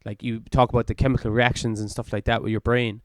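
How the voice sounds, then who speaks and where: A man's voice: mid-range voice, flat delivery, fast, dry recording, clean studio sound. A man in his twenties co-hosting a podcast, talking in an earnest, rambling way full of fillers. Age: 20s. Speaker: podcast co-host. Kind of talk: casual chat.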